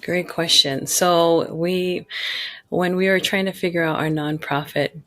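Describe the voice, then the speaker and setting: A woman's voice: fairly low pitch, steady tone, a little slow, fairly bright tone. A woman raised in Utah and born in Hawaii, telling her story in a rambling, restart-filled way as a podcast guest. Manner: rambling, many restarts and "you know". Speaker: guest, pageant founder.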